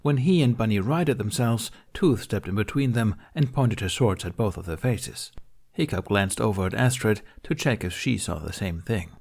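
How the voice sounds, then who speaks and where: A man's voice: lowish voice, normal speed, vocal fry, darkish tone. A man reading scripted fantasy fiction aloud as a narrator. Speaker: fan fiction reader.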